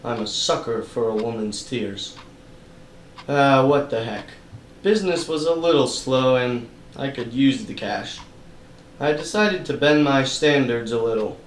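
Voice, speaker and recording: A man's voice: medium pitch, unhurried, reverberant, recorded from a distance, natural voice, low-quality recording. A man playing a cynical, money-minded private investigator in a noir radio play, with slangy, wisecracking tough-guy patter. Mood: wry narrating.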